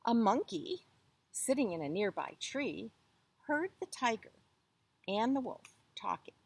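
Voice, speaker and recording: A woman storyteller reading a children's tale expressively, with deliberate, dramatic phrasing. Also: on the high side, sing-song, gravelly, slightly rough.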